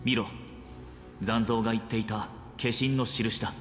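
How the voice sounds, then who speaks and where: Male actor as stern game warrior, blunt, very rapid, music underneath. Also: fairly low pitch, fairly even intonation, close to an everyday voice. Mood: wary. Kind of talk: Japanese game voice acting.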